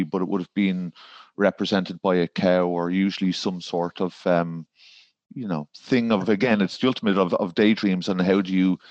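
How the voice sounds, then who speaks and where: A man's voice: deep voice, a little mellow, no room sound, lo-fi sound. An Irish man, a garden designer, talking in a podcast interview and rambling with fillers and restarts. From Ireland.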